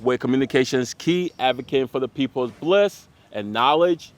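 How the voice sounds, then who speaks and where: A man's voice: medium pitch, lively intonation, moderate pace, a little hiss or hum. A man hosting a casual real estate interview, rambling a little and backing up his guest with frequent "mm-hmm"s. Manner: constant "mm-hmm" backchannels, rambling. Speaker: podcast host, real estate agent.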